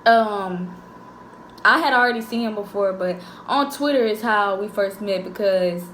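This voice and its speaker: A woman's voice: mid-range voice, a little slow, a little hiss or hum. Chatty young woman, echoey room. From Jackson, Mississippi. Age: 20s. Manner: chatty, animated storyteller. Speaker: co-host of a couple's channel. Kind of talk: casual Q&A chat, storytelling.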